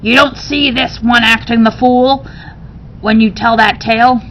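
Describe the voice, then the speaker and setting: A woman's voice: steady tone, a little slow, fairly bright tone. A woman reading the part of a stern old grandmother in a storybook, scolding in folksy speech. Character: stern, cantankerous old granny scolding her family. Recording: dull, noisy recording with rumble.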